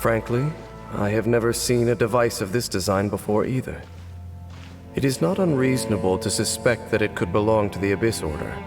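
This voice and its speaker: A man acts out an ancient, cursed warrior in a video game. His voice is fairly low, and he speaks in a solemn, weary, measured manner with formal phrasing. Music plays clearly behind him. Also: natural voice. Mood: composed, wary. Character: cursed, stoic male warrior, centuries old, solemn and weary.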